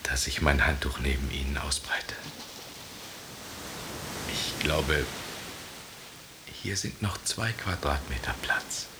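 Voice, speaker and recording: An actor in a German radio play, playing a deadpan, darkly gossipy man from a supermarket counter. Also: a bass voice, slow pace, pauses often, in a whisper, full character voice. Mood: melancholy, slow.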